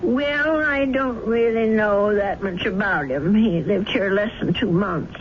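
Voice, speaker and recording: An actress playing a gentle, motherly widowed landlady in her sixties or older, homely and fussing, in a 1970s radio drama. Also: mid-range voice, unhurried, natural voice. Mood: fussing, concerned. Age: 60s or older. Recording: noisy dull old radio broadcast recording.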